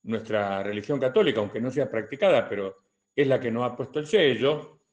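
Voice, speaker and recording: An older man with an Argentine accent answering questions as a political commentator, in a deliberate, didactic way with emphatic repetition. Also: medium pitch, animated, muffled recording. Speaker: retired colonel and political commentator.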